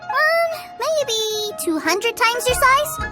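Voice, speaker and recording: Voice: very high voice, big pitch swings, slow pace, exaggerated voice. A performer voicing a curious, innocent little boy in a video game, speaking in a wide-eyed, earnest way. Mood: eager. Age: young child character. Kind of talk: video game character acting. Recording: loud music under the voice.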